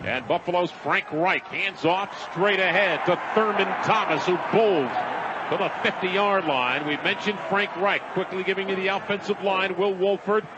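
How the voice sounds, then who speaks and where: Male American football announcer, high register, live radio play-by-play, noisy stadium broadcast. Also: moderate pace, highs cut off. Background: stadium noise.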